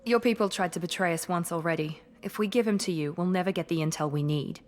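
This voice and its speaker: An actress playing a hard-nosed, distrustful operative in a sci-fi game. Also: female voice, fairly low pitch, quick pace, close to an everyday voice. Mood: curt, accusing. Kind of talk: cinematic sci-fi game dialogue.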